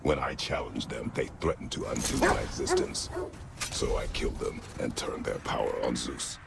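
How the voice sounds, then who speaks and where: Gravelly, very deep, stern warrior. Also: male voice, huge pitch swings, moderate pace, very noisy, full character voice. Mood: grim, resolute. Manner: terse, formal, stoic. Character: stern, battle-worn Spartan god-slayer, a grown man. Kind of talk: video game voice acting.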